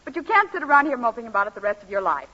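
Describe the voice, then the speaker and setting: An actress playing a brash, bossy, wisecracking female friend, brisk and slangy, in a 1940s radio comedy. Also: on the high side, big pitch swings, sharp tone, heavily acted. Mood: breezy, teasing. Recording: muffled old radio recording.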